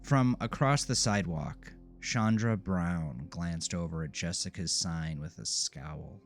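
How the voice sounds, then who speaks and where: A man narrating a scripted horror audio drama in a measured, literary storytelling manner. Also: sits low. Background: music under the voice.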